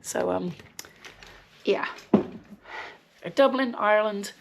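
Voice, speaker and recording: Gravelly woman with a cold. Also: mid-range voice, takes it slowly, noisy background. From West Midlands, England. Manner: chatty, frequent ums, dry swearing asides. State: recovering from a cold, slightly nasal and wheezy. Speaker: podcast host. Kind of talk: casual rambling chat.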